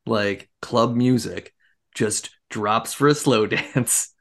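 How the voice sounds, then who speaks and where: A podcast co-host giving a casual movie recap, telling it as an animated story with plenty of filler words. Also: medium pitch, slow, vocal fry.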